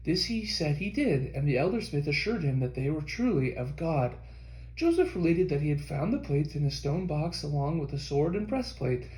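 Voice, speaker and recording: A man reading a history book aloud as an audiobook narrator, in a measured scripted delivery. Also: on the high side, a little mellow, echoey room, rough recording. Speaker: audiobook reader.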